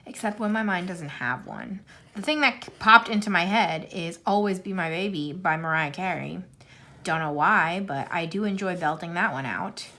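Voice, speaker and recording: Chatty woman in her thirties, lowish voice. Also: normal speed, pushed and bright. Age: 30s. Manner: rambling, self-deprecating, chatty with many fillers. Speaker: YouTuber answering a tag questionnaire. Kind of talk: casual chat while coloring.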